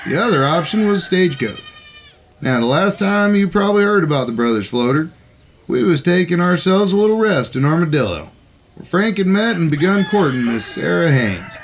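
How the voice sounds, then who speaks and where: A man playing an excitable, high-voiced young cowboy. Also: moderate pace, slight background noise. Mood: folksy storytelling. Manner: folksy drawl with old West grammar. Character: excitable, folksy younger cowboy brother, mischievous schemer. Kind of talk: Western audio drama acting and folksy narration.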